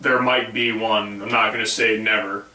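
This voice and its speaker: A man co-hosting a gaming podcast, hoarse from losing his voice, blunt and dismissive as he reads out news. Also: lowish voice, little pitch movement, moderate pace, sharp tone, reverberant, distant mic, rough recording. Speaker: podcast co-host. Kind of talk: casual gaming podcast chat.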